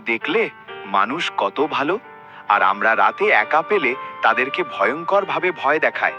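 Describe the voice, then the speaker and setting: A man's voice: mid-range voice, very animated, measured pace. A man voicing cartoon figures and narrating in an exaggerated, theatrical way. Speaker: narrator and voice actor. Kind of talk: cartoon voice acting and storytelling. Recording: voice-over with music.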